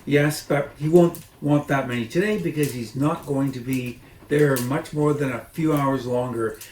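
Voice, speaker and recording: A man voicing a bossy, callous woman in a storybook, calling out loudly and impatiently. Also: medium pitch, normal speed, lightly acted. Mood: calling back. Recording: echoey room, noisy.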